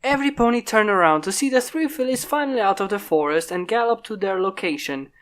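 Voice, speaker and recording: A woman's voice: medium pitch, animated, fast talker. A woman steadily narrating a fan story aloud. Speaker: fan fiction reader.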